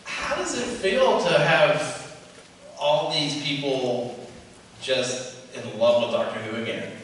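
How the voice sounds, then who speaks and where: A man's voice: medium pitch, slow, fairly bright tone. A man casually moderating a panel on a theatre stage. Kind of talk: casual hosting. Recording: echoey hall, distant mic, noise.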